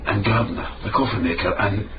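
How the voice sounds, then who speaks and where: An actor playing an urgent, probing investigator in a period radio mystery, with a clipped, questioning way of talking. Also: male voice, on the low side, a little fast, music in the background, lightly acted. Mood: intent. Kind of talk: period radio drama acting. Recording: muffled, hissy old-radio style recording.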